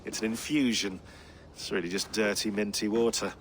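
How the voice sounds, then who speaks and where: An actor playing a dry, pedantic but affectionate teammate in a naturalistic video game scene. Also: male voice, on the low side, fairly even intonation, normal speed, a little hiss or hum, natural voice. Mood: dry teasing.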